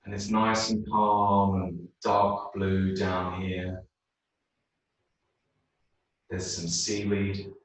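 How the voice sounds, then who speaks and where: A man leading a guided meditation in a yoga class, speaking slowly and soothingly. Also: deep voice, flat delivery, slight background noise. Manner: slow, soothing, unhurried. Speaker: yoga teacher. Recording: very echoey room, mic far off.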